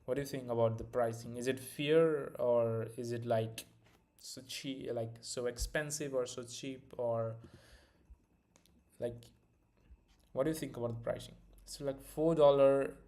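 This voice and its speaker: A man's voice: mid-range voice, steady tone, a little slow, mellow. Casual man with an Indian accent. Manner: loose, filler-heavy, restarts often. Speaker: live-stream host. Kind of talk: casual live-stream chat and product demo.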